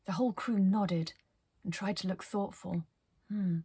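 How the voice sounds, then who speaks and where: A woman hosting storytime, narrating a children's story expressively and deliberately, with hushed phrase endings. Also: mid-range voice, fairly even intonation, slightly rough, no echo, clean studio sound. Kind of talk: animated children's story reading.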